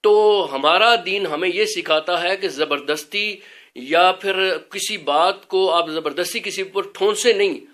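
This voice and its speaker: A man giving an informal religious talk as an educator, explaining things in an earnest, measured way. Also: high-pitched, fairly bright tone.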